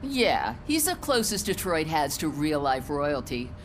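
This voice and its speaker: Casting: sarcastic game cop, deep and expressive, faint noise behind. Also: female voice, measured pace. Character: sarcastic female police officer, dry and mocking. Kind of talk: video game voice acting.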